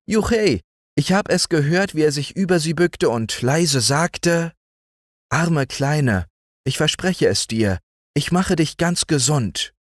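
An earnest young boy, bright and eager, speaking in a high, quick voice, as a man reading aloud puts him on. The sound is close and dry, with no room around it. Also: no echo.

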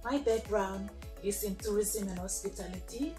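New mom, roomy echo and clear music behind, measured talk. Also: female voice, mid-range voice, mellow. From Papua New Guinea. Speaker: stay-at-home mom and online entrepreneur. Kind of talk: promotional testimonial. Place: room at home. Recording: echoey room with music.

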